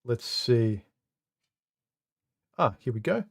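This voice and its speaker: A man with a deep, slightly muffled voice hosts a live stream from home. He speaks casually and haltingly, often repeating a word before he finds his sentence. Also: sing-song, vocal fry. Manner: halting, repeats words, many uh and um fillers. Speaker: live stream host reading comments. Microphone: studio microphone.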